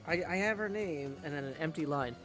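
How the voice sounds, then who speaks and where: Higher-pitched man streaming a game over music. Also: brisk, fairly bright tone. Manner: thinks aloud, reads game text out. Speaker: game streamer practicing voice acting. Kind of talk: casual live game commentary, reading aloud.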